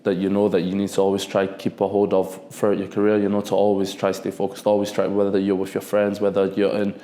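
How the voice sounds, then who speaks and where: Relaxed young man in an echoey room. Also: fairly low pitch, quick pace. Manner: relaxed, rambling, repeats phrases. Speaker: football defender, guest. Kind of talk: casual podcast interview.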